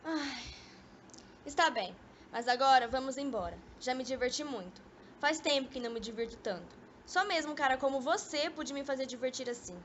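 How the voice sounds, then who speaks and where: A woman's voice: high-pitched, lively intonation, moderate pace, a bit bright. A young woman acting a haughty, bored, reluctant former lover in an amateur radio drama, with a Brazilian accent and petulant delivery. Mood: bored, sarcastic. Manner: petulant, disdainful. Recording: dull, noisy amateur recording.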